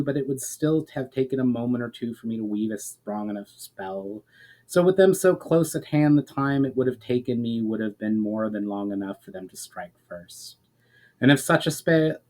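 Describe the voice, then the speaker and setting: A man acts out a male elf spell-weaver in a fantasy storybook. He is calm and courteous, with formal, measured phrasing. He explains things patiently and admonishes gently. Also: mid-range voice, close to an everyday voice. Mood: admonishing.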